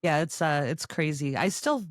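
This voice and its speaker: A man's voice: on the high side, animated, normal speed, dry recording, clean studio sound. A man in his late forties chatting casually as a podcast host, agreeable and easygoing. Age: 40s. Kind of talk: casual chat.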